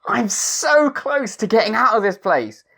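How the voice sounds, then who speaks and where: A woman's voice: fairly high pitch, very animated, unhurried, a bit bright, slightly rough, exaggerated voice. A woman playing an impatient, sardonic dead soul in an audio drama. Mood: excited. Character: impatient, sardonic dead person awaiting afterlife.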